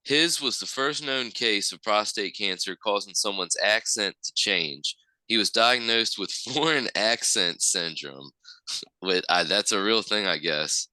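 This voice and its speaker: A man co-hosting a casual podcast, reading a news article aloud in an easygoing, halting way. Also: on the low side, expressive, pushed and bright, no room sound, low-quality recording. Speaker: podcast co-host.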